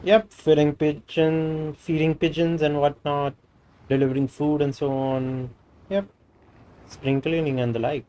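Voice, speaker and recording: A man's voice: fairly high pitch, little pitch movement, unhurried, warm tone, clear, resonant tone, low-quality recording. A man with an Indian accent giving easygoing, casual commentary as he streams a game. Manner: easygoing, switches between Hindi and English. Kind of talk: casual gameplay commentary, reading dialogue options aloud.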